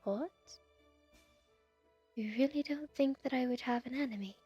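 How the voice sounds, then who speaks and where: A woman plays a gentle female spirit of light in an ASMR fantasy story. The voice is fairly high, soft and breathy, half-whispered and solemn. Words come slowly, with music playing behind. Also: lo-fi sound. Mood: grave, wary. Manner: slow, measured, hushed delivery.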